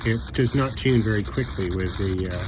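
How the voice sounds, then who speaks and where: Low-pitched man over loud radio noise. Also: moderate pace. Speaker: radio hobbyist reviewer. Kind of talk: casual demonstration commentary. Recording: noisy, radio static and hiss.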